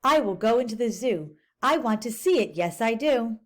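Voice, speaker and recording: A woman's voice: on the high side, animated, moderate pace, lightly acted. A woman voicing an eager spotted show-off creature. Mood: pleading. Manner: bouncy rhyming storybook delivery.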